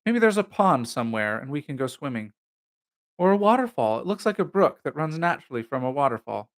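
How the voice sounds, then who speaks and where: A man's voice: mid-range voice, sing-song, a little fast. A flippant young man in an audiobook, acted by a male narrator. He talks in a casual, wry way, with idle playfulness and dry humor.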